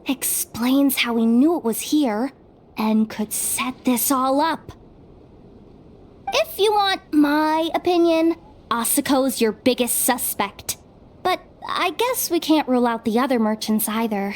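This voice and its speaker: A woman's voice: sits high, animated. A woman voicing a sardonic young female investigator in an English game dub, talking in a wry, grumbling way. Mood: explaining. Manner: wry, grumbling, slow-spoken. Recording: faint background noise.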